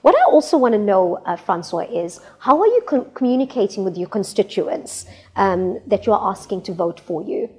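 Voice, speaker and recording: A woman hosting an interview, putting prepared questions in a formal, deliberate way. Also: mid-range voice, sing-song, warm tone, breathy edge. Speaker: talk show interviewer, host.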